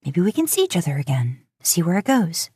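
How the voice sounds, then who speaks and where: A woman plays a smitten young woman in a romance audiobook, earnest and a little flustered. The voice is soft and slightly husky. It is recorded dry, with no room sound. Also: lowish voice, sing-song, a little fast, no echo. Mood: hopeful, reasoning. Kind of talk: romantic fan fiction audiobook dialogue.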